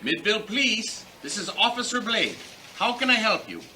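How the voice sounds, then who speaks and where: A man's voice: sits high, big pitch swings, moderate pace, bright, forceful tone, faint music behind, heavily acted, poor audio. A man playing a brusque, weary, streetwise police officer in an old-time radio comedy. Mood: exasperated. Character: exasperated city police desk officer, grudgingly helpful.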